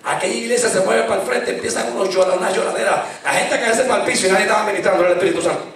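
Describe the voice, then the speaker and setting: A middle-aged man preaching a fervent sermon into a handheld mic in a small church, shouting at the peaks and dropping low in between. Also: racing, recorded from a distance, slight background noise. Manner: impassioned preaching, shouting at climaxes. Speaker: pastor. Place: small church sanctuary, indoors. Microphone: handheld mic, filmed from far. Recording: very echoey church from afar.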